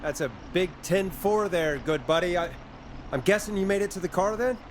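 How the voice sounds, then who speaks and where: Actor as a nervous, wry late-night radio host. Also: male voice, high-pitched, normal speed, a little hiss or hum, close to an everyday voice. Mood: wry, concerned. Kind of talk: video game voice acting.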